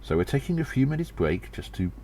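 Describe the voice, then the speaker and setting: A man's voice: mid-range voice, sing-song, brisk, dark tone, slight background noise, close to an everyday voice. A man voicing a small gnome adventurer who recounts battles with theatrical gravity in an audio drama. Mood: confiding, genial. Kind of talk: audio drama storytelling, first-person narration.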